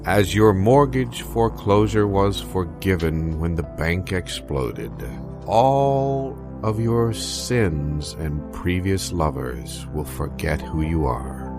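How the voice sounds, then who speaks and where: A man doing a deep, grandiose slow prophet. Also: expressive, over music, exaggerated voice. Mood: grandly solemn. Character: solemn male doom-promising prophet in mock advert.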